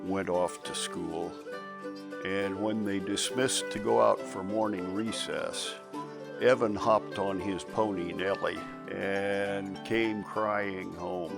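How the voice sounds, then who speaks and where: Slow, gravelly older man telling family stories. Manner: slow, gravelly storytelling with lively pitch. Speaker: interviewee. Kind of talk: reminiscing interview. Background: guitar music.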